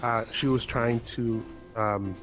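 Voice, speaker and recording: Earnest man with halting restarts. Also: medium pitch, steady tone, over music. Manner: earnest, halting, many restarts and repeated words. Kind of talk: casual heartfelt conversation.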